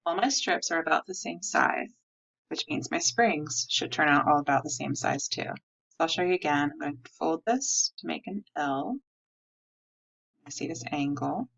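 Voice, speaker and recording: A woman with a low voice and plenty of vocal fry teaches a craft step by step. Her phrasing is simple and instructional, and the recording is a little dull. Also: normal speed, a bit bright. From United States. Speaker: art teacher. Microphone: recording a tabletop demonstration under an overhead camera. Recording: slightly dull, lo-fi audio.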